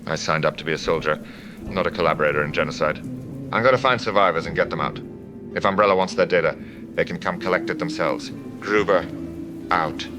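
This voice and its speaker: A weary mercenary soldier, acted by a man, speaks in a low-pitched voice over clearly audible music. His delivery is grim and measured, a bitter, disillusioned testimony from an audio drama. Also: lightly acted. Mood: resolute. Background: background music.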